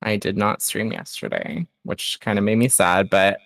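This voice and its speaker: A man's voice: lowish voice, moderate pace, creaky, a bit bright, dry recording. A man chatting casually and candidly as a guest on a livestream, a bit self-deprecating. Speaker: streamer, guest on a stream. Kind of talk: casual chat.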